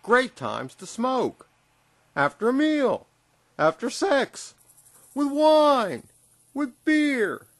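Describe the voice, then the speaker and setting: A woman performing a scripted radio-play recitation, delivering short phrases one at a time in a measured, deliberate way. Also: high-pitched, big pitch swings, no room sound.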